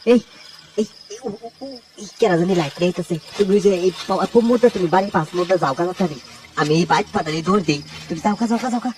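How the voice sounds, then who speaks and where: Man acting high-voiced jealous village lover, Tangail accent. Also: quick pace, natural voice. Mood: panicked. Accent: Tangail regional Bengali, Bangladesh. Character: jealous, fearful young village lover, male. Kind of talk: amateur village love-story dialogue. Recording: distant recording with loud music behind.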